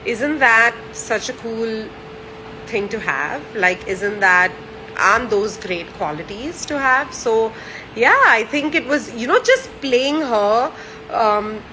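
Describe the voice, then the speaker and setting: A woman's voice: on the high side, lively intonation, slow pace, background noise. A woman in her thirties from India, chatting cheerfully with frequent fillers and restarts while she answers questions. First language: Gujarati or French, not Hindi. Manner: warm and cheerful. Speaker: actress answering fan questions. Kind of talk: casual chat.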